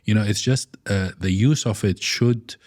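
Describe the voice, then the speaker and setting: A man's voice: fairly low pitch, measured pace, darkish tone, dry recording, clean studio sound. A middle-aged man from Iraq, an architect guest in an interview, speaking with frequent fillers and restarts. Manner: hesitant, many fillers and restarts. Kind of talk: conversational interview.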